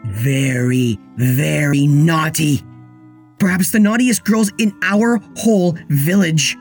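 A man's voice: a little high, slow pace, creaky, faint music behind, voice pushed up, exaggerated voice. A man voicing a stern, scolding mother who is a menacing child-eating dragon in a storybook tale. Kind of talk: storybook character voice.

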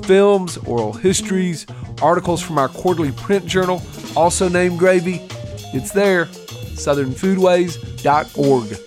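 A male podcast host delivers scripted credits in a somewhat high voice. Soft guitar music plays behind his narration. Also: measured pace.

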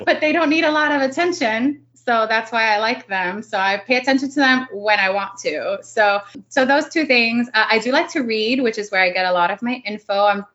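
A woman's voice: a little high, a little fast, a bit bright, lo-fi sound. A woman in her early thirties from Miami, a native Spanish speaker, chatting casually as a podcast guest with lots of fillers and laughs. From Miami, United States. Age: early 30s. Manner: chatty, giggly, many likes and fillers. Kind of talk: casual interview chat.

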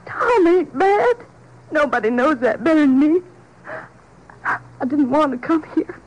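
Pleading wife of an ex-convict, very high voice, folksy grammar, tearful, old radio drama. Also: female voice, big pitch swings, a little slow, low-quality recording. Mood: tearful, worried. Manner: folksy, ungrammatical, tearful speech. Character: distressed, pleading working-class wife of an ex-convict. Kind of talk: 1950s radio drama acting. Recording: old 1950s radio recording.

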